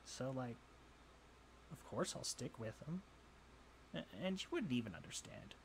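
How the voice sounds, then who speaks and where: A man's voice: medium pitch, sing-song, slight background noise. A young man playing a jealous, insecure college bully in a roleplay audio drama, scoffing, sighing and defensive. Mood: bitter, jealous. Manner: scoffing, sighing, swearing, halting when flustered.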